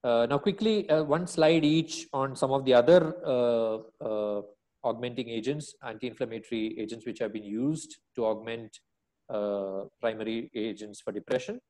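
A middle-aged man with an Indian accent delivers a medical lecture. His voice sits at an ordinary male pitch, and he explains points in a measured, teacherly manner, stressing what matters. He speaks from a small room into a webcam. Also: a little mellow.

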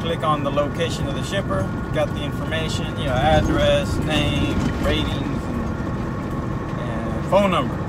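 A man in his thirties with a Texan accent, telling a rambling, relaxed story about his day from the cab of a moving semi truck, recorded on a dashboard camera. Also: a little high, a little slow. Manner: relaxed, rambling retelling with many fillers. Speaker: owner-operator truck driver vlogger. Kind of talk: casual storytelling vlog. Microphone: camera mounted on the dashboard. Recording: distant camera mic with loud engine and road noise.

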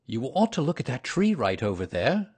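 A man's voice: mid-range voice, very animated, normal speed, darkish tone, slightly rough, voice pushed up. A man voicing a bossy, scheming rabbit in a storybook reading, coaxing and managing the others.

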